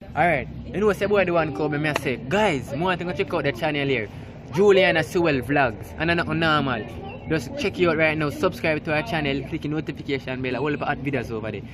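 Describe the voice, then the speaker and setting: A young man with a Jamaican accent gives an energetic, insistent, informal promotional shout-out outdoors. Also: a little high, brisk, crowd noise. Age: young adult. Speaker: channel promoter. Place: outdoors by a residential walkway. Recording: busy outdoor background.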